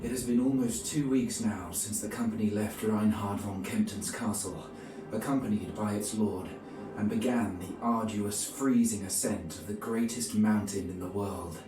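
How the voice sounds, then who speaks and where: A grave, pious knight of the crusades played by a man recounts his journey for a medieval video game. His low voice is formal and measured, with a solemn storyteller's weight. It sounds roomy and set back, with music underneath. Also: fairly even intonation, far from the mic, close to an everyday voice. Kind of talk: scripted dramatic narration.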